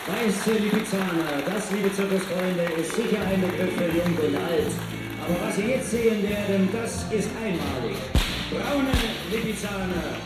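An actor playing a showy circus ringmaster in a radio drama, announcing in a grand, declamatory way. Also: male voice, high-pitched, expressive, a little slow. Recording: echoing circus tent with music and crowd.

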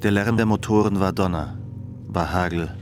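An actor narrating a literary radio drama as a sombre storyteller of post-war Germany. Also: male voice, deep voice, moderate pace, music in the background, close to an everyday voice. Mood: grave, measured.

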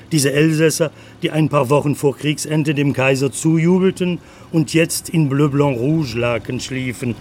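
Male narrator, mid-pitched and matter-of-fact. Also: a little mellow, a little hiss or hum. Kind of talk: scripted documentary radio narration.

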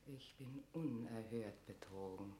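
An actress playing an imperious but wavering, troubled queen in a classical radio drama, declaiming in a halting, dramatic way. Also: very deep voice, roomy sound, noisy background. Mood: shaken, torn. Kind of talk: classical German stage-verse radio drama.

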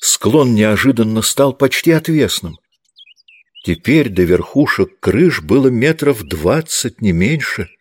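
A man's voice: mid-range voice, very animated, slow, breathy edge. A man reading literary prose as a scripted audiobook narration.

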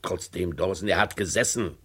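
An authoritative, distrustful company boss in a radio play. The voice is deep and rather husky, and the delivery is deliberate and commanding. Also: male voice, bright, forceful tone, exaggerated voice. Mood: sceptical, pressing. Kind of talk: old German radio crime play.

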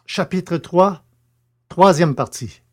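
A man's voice: high-pitched, takes it slowly, darkish tone. A man narrating an audio fiction story, reading scripted prose as a storyteller.

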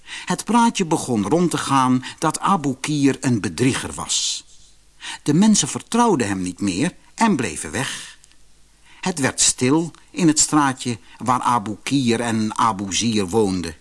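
A man with a Netherlands Dutch accent reads a scripted fairy tale as an expressive audiobook narrator. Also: medium pitch, takes it slowly. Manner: expressive storytelling.

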